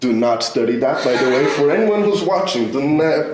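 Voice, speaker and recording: Fast-talking man from Brazil, roomy sound. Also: fairly high pitch, expressive, background noise. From Brazil, partly raised in the United States. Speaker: podcast guest, tech recruiter. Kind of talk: casual podcast chat, storytelling.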